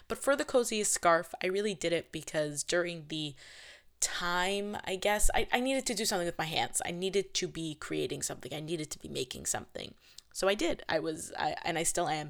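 A woman hosting a podcast, reading her scripted intro and asking interview questions in a lively way. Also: lowish voice. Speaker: podcast host.

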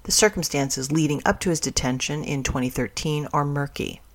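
A news reader with a medium-pitched voice delivers scripted stories. The narration is read from a written article, as on a news podcast. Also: moderate pace.

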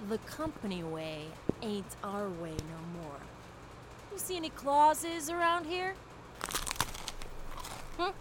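A woman's voice: medium pitch, animated, takes it slowly, pauses often. A woman playing a brash, mischievous security chief with a folksy, boastful, theatrical drawl. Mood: defiant, mocking. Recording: noisy ambient background.

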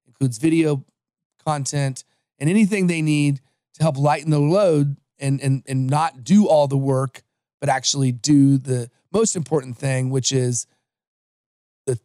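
A man's voice: mid-range voice, dark tone, dry recording, clean studio sound. A man in his forties from Los Angeles, a podcast guest telling his career story in an upbeat, conversational way with frequent restarts. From Los Angeles, USA. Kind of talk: conversational interview.

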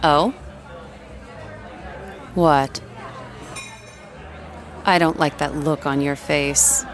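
A woman's voice: low-pitched, fairly even intonation, very slow, faint music behind, some chatter behind. An actress playing a guarded, defiant female project manager in naturalistic audio drama, with a terse, pointed way of talking. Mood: wary.

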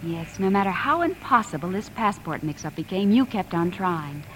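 An American actress playing a devoted wife in a 1950s radio drama, speaking tenderly and reassuringly. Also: female voice, lowish voice, animated, normal speed, lightly acted, low-quality recording. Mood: tender, soothing. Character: devoted, soothing wife, mildly questioning. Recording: old radio broadcast, faint noise.

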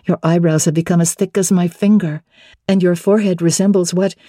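Spirited young heroine of classic storybook, acted by a woman reader: mellow, husky, forthright, playfully defiant. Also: on the low side, steady tone, fairly quick, no echo, clean studio sound, close to an everyday voice. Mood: playful, challenging. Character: spirited, frank young woman, teasing bride-to-be. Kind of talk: dramatic classic novel reading.

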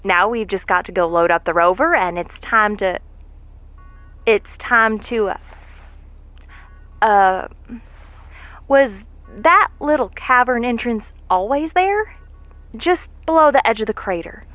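A woman's voice: fairly high pitch, animated, slow pace, pauses often, a bit bright, dry recording. An actress playing a lone rover worker, a chatty, curious woman who mutters to herself in a folksy way in an audio drama. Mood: pleased. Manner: folksy, muttering to herself, breathy sighs and hesitations.

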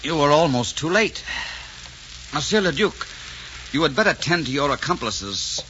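A man's voice: fairly high pitch, animated, moderate pace, pushed and bright. An actor playing a proud, gallant, romantic Frenchman in theatrical 1940s radio style. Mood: cool, wounded. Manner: theatrical, impassioned. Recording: noisy, muffled 1940s radio recording.

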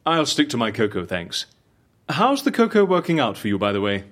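An actor playing a fussy, inquisitive interviewer with a husky, dry delivery in an audio drama. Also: male voice, mid-range voice, wildly swooping pitch, a little fast, a bit bright. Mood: prim, cheerful. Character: fussy, curious male secret-agency interviewer who loves cocoa.

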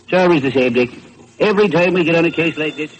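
An actor playing an eager, plain-spoken detective's partner in an old-time radio crime drama. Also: male voice, a little high, fast, husky, poor audio. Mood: confident, matter-of-fact. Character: eager, plain-spoken male police sidekick.

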